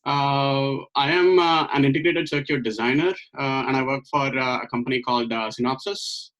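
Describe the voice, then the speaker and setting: A young man from Hyderabad introducing himself as a student, earnest and hesitant with many pauses, speaking from his bedroom on a laptop call. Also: medium pitch, a bit bright. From Hyderabad, India. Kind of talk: self-introduction on video call. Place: bedroom at home. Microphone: laptop webcam on video call. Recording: dull lo-fi call audio.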